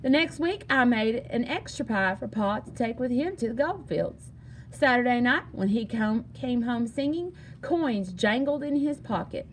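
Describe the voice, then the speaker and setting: A woman reading a children's story aloud in an animated, expressive way with emphatic delivery. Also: a little high, normal speed, a little hiss or hum, low-quality recording. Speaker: storybook reader.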